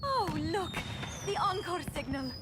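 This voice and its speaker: An actress playing an excitable woman leader who speaks brightly and eagerly in a game cutscene. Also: very high-pitched, measured pace, background noise, heavily acted. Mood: excited.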